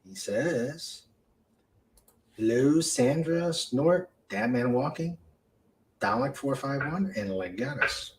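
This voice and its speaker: A man hosting a casual livestream chat, speaking haltingly with many fillers. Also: medium pitch, animated, a little hiss or hum, poor audio. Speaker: host.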